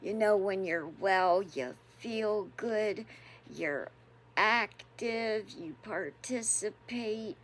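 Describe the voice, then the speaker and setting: A woman in her sixties hosting a devotional channel, chatting casually and reflectively, unhurried and chuckling often. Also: mid-range voice, a little hiss or hum. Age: 60s. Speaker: devotional host.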